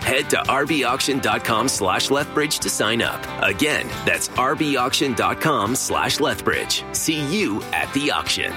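A man's voice: mid-range voice, animated, measured pace, few pauses. Man reading a radio ad over guitar. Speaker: ad announcer. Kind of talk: scripted radio ad read. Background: guitar music.

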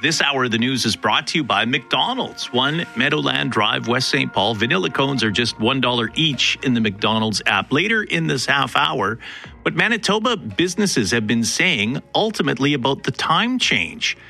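A man's voice: medium pitch, vocal fry. A man hosting a radio news hour and interviewing guests in an upbeat, joking way. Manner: upbeat, jokey, animated questioning. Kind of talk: broadcast news and interview chat.

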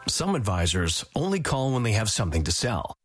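Low male ad voice over music. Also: sing-song, brisk. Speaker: ad announcer. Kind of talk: scripted radio ad.

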